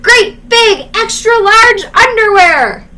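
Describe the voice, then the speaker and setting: Storybook noisy young man, played by a woman: bellowing town crier, high and sharp, drawn-out hollers. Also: lively intonation, full character voice. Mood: loud, eager. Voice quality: loud, shouting.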